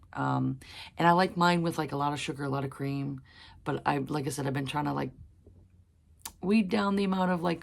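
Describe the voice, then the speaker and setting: A woman in her thirties, hoarse from a cold, chatting casually about books with many fillers. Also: low voice. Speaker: book vlogger. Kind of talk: casual chat.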